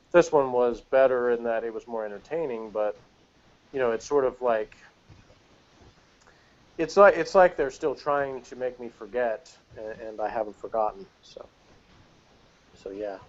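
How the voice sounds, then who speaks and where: A middle-aged man giving a casual, opinionated review in a rambling, halting way that keeps interrupting itself. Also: mid-range voice, muffled recording. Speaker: podcast panelist reviewing TV episodes.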